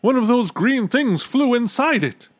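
A man voicing an excitable, blustering male royal trumpeter in a storybook, given to loud exclamations and wailing. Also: fairly high pitch, slow, pitched above the performer's own voice. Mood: flustered. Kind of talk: storybook character reading.

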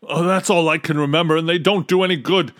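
A man's voice: a little high, steady tone, fast, a bit bright, voice pushed up, close to an everyday voice. A man voicing a proud, blustering but helpless old storybook king, with a pompous, groaning and bellowing delivery. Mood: groaning, desperate. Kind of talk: storybook character voice.

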